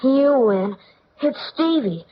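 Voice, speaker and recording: Cocky ten-year-old boy, animated streetwise wise guy, muffled noisy vintage radio sound. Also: sits high, takes it slowly. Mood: shrewd, eager. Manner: brash, slangy, suspicious. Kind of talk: 1940s radio acting.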